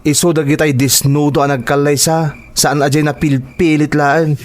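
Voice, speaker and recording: Actor as a cold Ilocano young husband. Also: male voice, fairly high pitch, little pitch movement, normal speed, natural voice. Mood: resentful. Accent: Filipino, Ilocano. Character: cold, dismissive young husband, curt and resentful. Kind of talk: radio-style drama acting.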